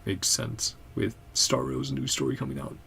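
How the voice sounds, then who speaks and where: A woman's voice: a bass voice, animated, normal speed, creaky, background noise. A woman streamer giving casual game commentary and reading subtitles aloud in murmured, fragmentary asides.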